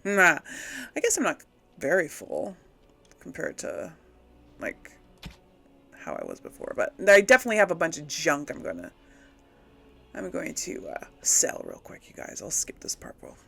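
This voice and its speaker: A woman giving casual let's play commentary to her audience, rambling and thinking out loud. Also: low-pitched, huge pitch swings, moderate pace, vocal fry, long pauses, husky. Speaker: host of a gaming role-play series.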